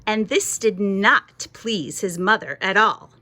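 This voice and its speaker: A woman reading a children's bedtime story aloud in an expressive storytelling style, with music behind her. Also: mid-range voice, slow. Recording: music under the voice.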